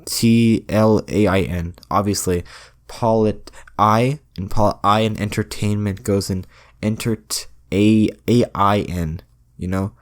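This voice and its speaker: Rambling young man, low voice. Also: slow pace. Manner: rambling, self-deprecating, deadpan jokes with many restarts. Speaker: podcast host. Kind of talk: rambling solo podcast monologue.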